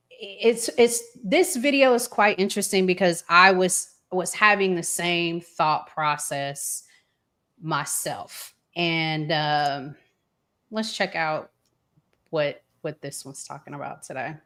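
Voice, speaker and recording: A woman's voice: lowish voice, takes it slowly, a bit bright. An American woman hosting a casual relationship talk show, conversational and repeating words for emphasis. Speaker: host.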